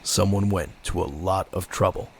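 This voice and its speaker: A man playing a brooding, stern vigilante detective in an audio drama, terse and grim with a gravelly voice. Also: low-pitched, steady tone, moderate pace, a little hiss or hum. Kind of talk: audio drama narration and dialogue.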